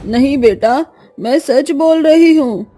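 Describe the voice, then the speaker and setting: A woman plays a caring, worried mother from a village in a cartoon horror tale. Her voice is high and very slow, earnest and cautioning, with faint music underneath. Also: dark tone. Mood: grave warning. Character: anxious, caring village mother of a grown son.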